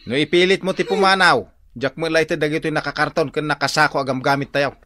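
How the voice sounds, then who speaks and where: A hard-drinking, bumbling husband from an Ilocano radio comedy drama. He stammers sheepishly and gets excitable as he pleads. His accent is Ilocano. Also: a little high, quick pace. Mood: alarmed, pleading. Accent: Ilocano Filipino.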